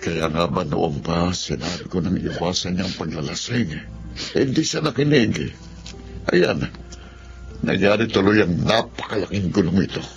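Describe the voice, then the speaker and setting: A grave older Filipino father in a radio drama. His voice sits very low, and the sound is dull with music playing beneath it. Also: normal speed. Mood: grave, concerned.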